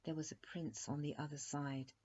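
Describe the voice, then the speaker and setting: A woman's voice: deep voice, flat delivery, normal speed, dark tone, husky. A woman voicing a sensitive little princess in a storybook, with an emphatic, childishly dignified manner. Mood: sad. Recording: dull lo-fi recording.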